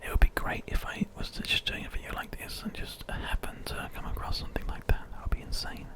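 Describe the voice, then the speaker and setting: Whispering British man, ASMR. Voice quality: breathy, unvoiced whisper. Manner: soft, gentle, unhurried rambling. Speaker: ASMR video host. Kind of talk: whispered ASMR game commentary.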